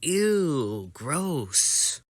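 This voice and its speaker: A man's voice: mid-range voice, animated, a little slow, bright, forceful tone, dry recording, clean studio sound. A man playing a plucky, blunt young boy in a motion comic drama, childish and matter-of-fact. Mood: curious, teasing.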